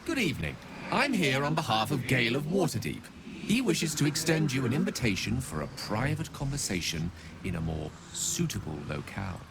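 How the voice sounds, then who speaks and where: A theatrical, obliging messenger from a fantasy game. He is ceremonious and ornate, with a creaky edge and lively swings of pitch, over some noise. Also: moderate pace. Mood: courteous, ceremonious. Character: courteous formal magical messenger, theatrical and obliging. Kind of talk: fantasy video game dialogue acting.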